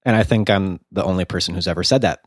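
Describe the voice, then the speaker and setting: A man with a lowish voice, a little rough as he gets over a cold. He banters and jokes with his co-host in loose podcast chat. The mic is close and the sound is dry. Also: no echo. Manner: quick jokey banter, filler words. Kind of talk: casual chat.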